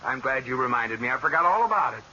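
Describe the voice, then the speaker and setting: An actor playing a breezy, oblivious husband in an old radio comedy. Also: male voice, medium pitch, sing-song, quick pace, bright, forceful tone. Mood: breezy, puzzled. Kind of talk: 1940s-50s radio comedy acting. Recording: very noisy, muffled old radio broadcast.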